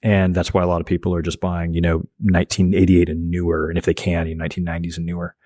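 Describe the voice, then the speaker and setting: Deep voiced podcast host guy, talks fast, asks quick questions, dry mic. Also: monotone, warm tone, no echo.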